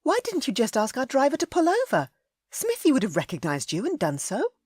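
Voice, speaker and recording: A woman voicing a proud young high-born lady with sharp, huffy retorts. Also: huge pitch swings, a little fast, slightly rough, no echo, clean studio sound, exaggerated voice. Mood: indignant.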